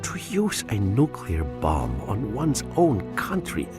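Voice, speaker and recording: An actor playing a disillusioned Soviet officer, narrating a defeat in a video game. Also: male voice, on the low side, wildly swooping pitch, takes it slowly, music in the background, full character voice. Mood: bitter.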